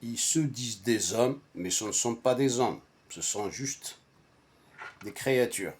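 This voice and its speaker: A man whose first language is Arabic, telling a personal story casually in a halting, repetitive and emphatic way. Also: medium pitch. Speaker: storyteller recounting a personal anecdote to friends.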